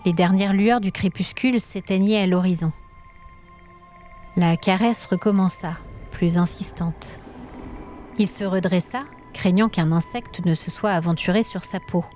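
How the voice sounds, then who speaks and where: A woman's voice: on the low side, a little mellow. A woman storyteller reading a scripted fantasy tale as dramatic narration. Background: music.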